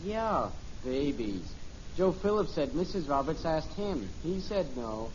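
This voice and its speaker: An actor playing a casual, wisecracking teenage son in a 1950s radio family sitcom. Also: male voice, on the high side, sing-song, unhurried, darkish tone. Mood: impressed. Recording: muffled, noisy vintage radio recording.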